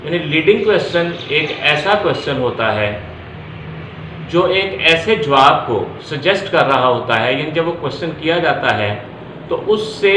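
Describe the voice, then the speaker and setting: A man's voice: on the high side, a bit bright. A man with a Pakistani accent giving an explanatory law lecture, restating each point step by step and mixing in English terms. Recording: echoey room, faint background noise.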